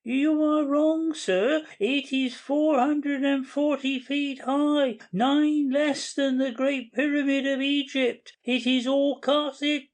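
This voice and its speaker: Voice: high-pitched, steady tone. An audiobook reader playing a pedantic, tireless male cathedral guide, declaiming facts in a dramatic reading. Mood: insistent. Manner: slow, declamatory recital of dates and facts.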